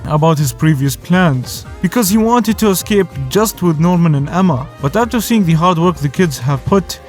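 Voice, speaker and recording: A man's voice: on the high side, expressive, moderate pace, a little mellow. A man whose first language is Arabic, narrating a scripted review in a casual, joking way as the host. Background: faint music.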